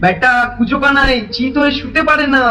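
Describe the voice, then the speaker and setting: A man's voice: very high voice, fairly quick, pushed and bright, slight background noise, exaggerated voice. A man playing a mischievous, mocking young village lad in a fairy tale, needling with sing-song taunts. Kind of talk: animated fairy-tale voice acting.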